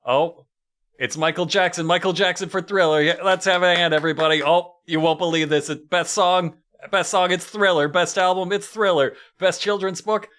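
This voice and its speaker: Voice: medium pitch, steady tone, moderate pace, fairly bright tone. A podcast panelist riffing casually and incredulously, acting out little comic bits.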